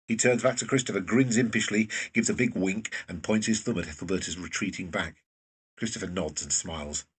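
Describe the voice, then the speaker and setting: A man with a very husky voice reading scripted present-tense narration for a drama, recorded away from the microphone. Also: sits low, brisk, distant mic.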